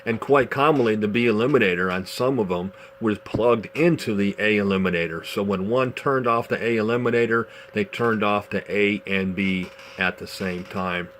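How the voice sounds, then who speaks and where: A man giving a casual, unscripted show-and-tell demonstration in a measured, explanatory way, pausing as he goes. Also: on the low side, a bit bright. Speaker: vintage radio collector presenting a demonstration. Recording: clearly noisy amateur home video.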